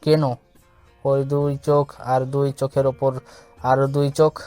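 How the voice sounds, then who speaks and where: A man playing a flat-toned, cheeky younger brother. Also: mid-range voice, unhurried, close to an everyday voice. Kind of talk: audio story acting. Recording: music under the voice.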